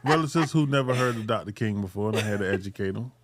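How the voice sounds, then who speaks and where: A man's voice: on the low side, expressive, fast talker, a loud crowd. A young man co-hosting a podcast, joking and arguing in casual chat. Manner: joking, argumentative. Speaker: podcast co-host.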